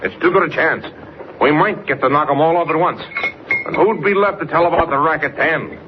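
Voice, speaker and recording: An actor playing a shrewd, bossy gangster in a 1940s radio drama, talking in tough, menacing slang. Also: male voice, fairly high pitch, expressive, brisk, lightly acted. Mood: urgent, bossy. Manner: slangy, tough, commanding talk. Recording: noisy muffled old 1940s radio recording.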